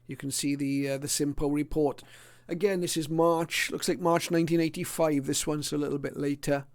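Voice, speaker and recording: Middle-aged or older man, slightly higher voice, casual presenter, unscripted, halting delivery. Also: steady tone. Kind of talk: casual illustrated hobby talk.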